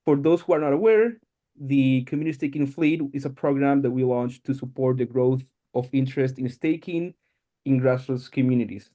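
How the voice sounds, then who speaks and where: Mellow-voiced male podcast host. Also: medium pitch, normal speed. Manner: welcoming, explanatory. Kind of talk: podcast introduction.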